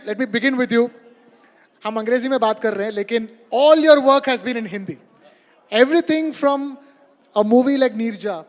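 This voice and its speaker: A man moderating a summit panel, asking long questions in a brisk, talkative way. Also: very high voice. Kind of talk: moderating a panel discussion, mixing English and Hindi. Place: large event hall on stage. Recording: long reverb of a large hall.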